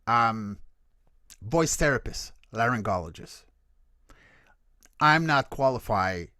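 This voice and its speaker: A man's voice: mid-range voice, animated, takes it slowly, pushed and bright, no echo, clean studio sound. A man, a voice coach and creature performer, answering questions earnestly on a video call, often restarting his sentences. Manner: earnest, explanatory, frequent restarts and self-corrections. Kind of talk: casual Q&A answers.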